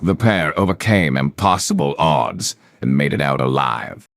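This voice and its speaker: A superhuman video game villain with an extremely deep, gravel-laden voice. He is cold and arrogant, a schemer who measures out every phrase with theatrical menace. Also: expressive, measured pace, heavily acted. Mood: ominous narration. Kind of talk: scripted video game villain narration and dialogue.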